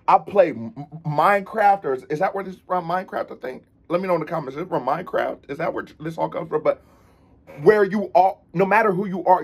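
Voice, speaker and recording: Husky, higher-pitched young American man. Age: young adult. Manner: animated, slangy, repetitive. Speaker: reaction video host. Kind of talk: casual reaction commentary. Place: indoors, room with unfinished basement ceiling.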